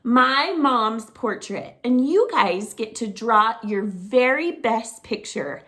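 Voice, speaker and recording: A middle-aged woman teaching preschoolers, talking to small children in an animated, sing-song teacher voice. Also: on the high side, slow pace. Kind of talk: children's circle-time lesson to camera.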